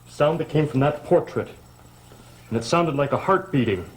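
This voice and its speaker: A man's voice: fairly high pitch, lively intonation, normal speed, lightly acted, low-quality recording. Man acting a proud, defiant young manor heir. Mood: urgent, puzzled. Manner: imperious, questioning, indignant. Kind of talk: 1970s television soap opera acting. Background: background music.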